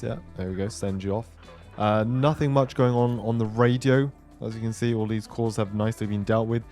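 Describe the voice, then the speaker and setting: A man's voice: mid-range voice, darkish tone. A man giving casual gameplay commentary as a video host, thinking aloud as he goes. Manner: thinks aloud, talks himself through decisions. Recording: music behind the voice.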